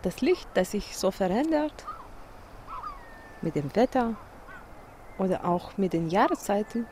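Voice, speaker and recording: A woman's voice: medium pitch, sing-song, a little slow, darkish tone. A woman musing aloud in an unscripted way. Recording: faint noise, outdoor ambience.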